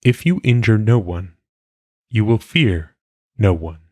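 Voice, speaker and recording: A man reading philosophical maxims aloud in a solemn audiobook style, with measured, deliberate phrasing. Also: on the low side, expressive, a little mellow, no room sound. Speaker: reader.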